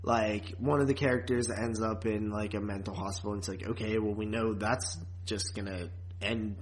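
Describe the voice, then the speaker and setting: A man's voice: lowish voice, fairly even intonation, moderate pace, low-quality recording. A man co-hosting a podcast, chatting casually about a series in a slangy, conversational way. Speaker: podcast co-host. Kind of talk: casual chat reviewing a TV series.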